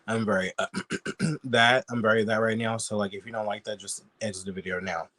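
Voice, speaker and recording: A man with a somewhat low voice talks casually and bluntly, peppering his speech with fillers and swearing. He sounds sick and sniffly throughout. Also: fairly even intonation, a little fast, a bit bright. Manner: chatty, blunt, swears freely. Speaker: YouTube commentator. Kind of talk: casual reaction commentary.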